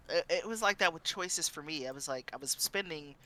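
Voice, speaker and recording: A woman's voice: deep voice, normal speed, sharp tone. A woman chatting casually as a guest streamer, often repeating herself as she starts her sentences. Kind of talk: casual panel chat.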